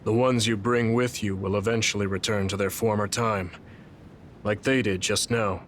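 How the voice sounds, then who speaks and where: An actor playing a weary, blind old retired hero in a video game, speaking gravely and slowly measured, full of regret. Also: male voice, fairly low pitch, fairly even intonation, fairly bright tone, slight background noise, close to an everyday voice. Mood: resigned, explanatory. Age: older. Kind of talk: dramatic video game voice acting.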